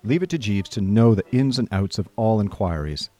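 Guy playing chatty posh idle young gent telling his story in a radio play, lowish voice, music behind. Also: animated, normal speed, mellow, natural voice. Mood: rueful, chatty. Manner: breezy, discursive, old-fashioned upper-class phrasing. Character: Bertie Wooster, chatty idle young gentleman narrator. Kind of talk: radio drama first-person comic narration.

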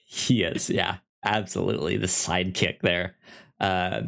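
A man co-hosting a podcast in casual film discussion, speaking haltingly as he thinks aloud. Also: low voice, steady tone, creaky, a bit bright. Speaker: podcast co-host.

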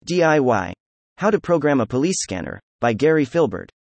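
A computer-generated male voice reads a how-to guide aloud in a steady instructional way. His pitch moves around a lot, and the recording is dry, with no room sound. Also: moderate pace, no echo. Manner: even instructional delivery with lively pitch. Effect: synthetic text-to-speech voice. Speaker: narrator. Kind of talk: scripted article reading.

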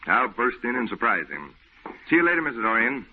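A man playing a hearty, reassuring friend who talks in a brisk, coaxing way, in 1940s radio acting. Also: medium pitch, very animated, close to an everyday voice. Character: hearty, reassuring male friend, middle-aged. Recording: tinny old radio broadcast with faint hiss.

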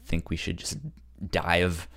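A man's voice: low voice, monotone, slow pace, a bit bright. A man co-hosting a podcast in casual chat, stammering and repeating words as he goes. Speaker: podcast co-host.

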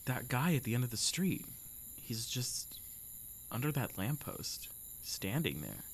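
A woman's voice: extremely low pitch, sing-song, unhurried, breathy edge, heavily acted. A young woman playing a bold, curious, impulsive teenage best friend in an audio drama. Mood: curious, wary.

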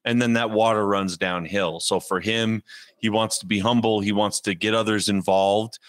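A man's voice: on the low side, moderate pace, no room sound. Joking man co-hosting a sports podcast. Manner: joking, animated, puts on silly voices. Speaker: podcast co-host. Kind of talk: casual sports chat.

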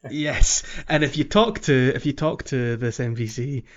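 A man's voice: medium pitch, moderate pace, highs cut off. A man hosting a casual gaming podcast, explaining things in a hesitant, meandering way. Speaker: podcast host.

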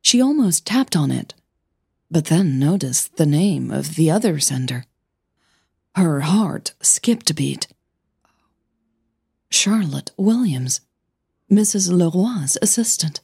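A woman with a soft, breathy voice, a podcast host who narrates her own audio fiction, over music. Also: sits low, animated, slow, pauses often, no room sound, clean studio sound. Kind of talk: scripted story narration with casual host outro. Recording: music bed under the voice.

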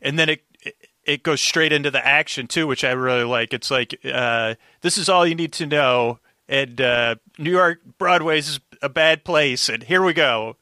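A man as a podcast guest, chatting casually in a loose, halting, rambling way. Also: a little high, pushed and bright, dry recording, clean studio sound.

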